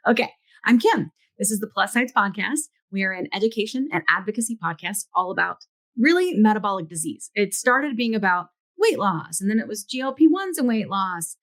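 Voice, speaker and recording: A woman's voice: mid-range voice, fairly quick. Middle-aged woman, podcast co-host, casual and giggly. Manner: chatty, laughs often, animated asides. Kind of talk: casual chat and ad read.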